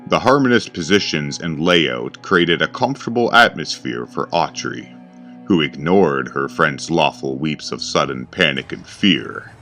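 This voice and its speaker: A man narrating a scripted horror story in a slow, grave, ominous manner. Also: rumbling low, expressive, bright, forceful tone, soft background music.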